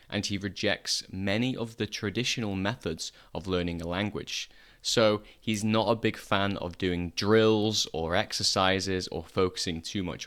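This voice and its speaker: A man hosting an interview, speaking clearly in a friendly, encouraging way. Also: deep voice, a bit bright. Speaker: interview host, English teacher.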